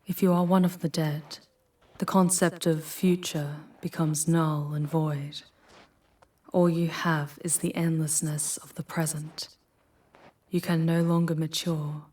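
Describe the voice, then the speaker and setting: A woman performs an ancient demoness for an ASMR roleplay, proud yet wounded. Her voice is low, breathy and husky, often sinking toward a whisper. She speaks very slowly, with formal, solemn deliberation as a guide through the underworld. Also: level tone, a little mellow. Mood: somber.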